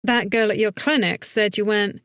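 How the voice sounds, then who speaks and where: A woman voicing a gruff, cocky, easygoing man with a Southern US drawl in an audiobook. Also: mid-range voice, fairly even intonation, normal speed, a bit bright, no echo, studio quality, close to an everyday voice. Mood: cocky, casual. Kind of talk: audiobook character acting.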